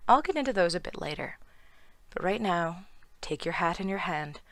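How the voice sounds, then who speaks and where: A woman with a hoarse, worn voice, giving sardonic, irreverent scripted commentary for her video channel. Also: lowish voice, expressive, normal speed, fairly bright tone, no echo. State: hoarse from lost voice. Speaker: YouTube commentator.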